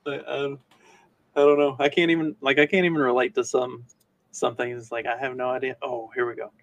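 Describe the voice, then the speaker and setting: A man with a medium-pitched voice chats as a podcast co-host. His delivery is casual and somewhat hesitant. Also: level tone, fairly quick. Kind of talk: casual chat.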